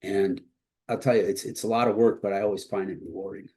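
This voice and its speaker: A man giving an informal instructional presentation as a college instructor, explaining haltingly with a congested, slightly husky voice that is starting to give out. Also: deep voice, fairly even intonation, mellow. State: congested, starting to lose his voice.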